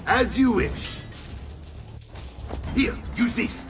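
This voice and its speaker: An actor playing a boisterous middle-aged warrior uncle with a gravelly voice, speaking hearty and teasing in a game cutscene. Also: male voice, very high-pitched, drawn-out words, faint music behind, exaggerated voice. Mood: mocking.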